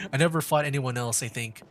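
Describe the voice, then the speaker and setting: A man chatting casually as a game livestreamer, rambling and repeating his phrases. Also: medium pitch. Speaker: livestreamer, VTuber. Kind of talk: casual gaming livestream chat. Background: game music.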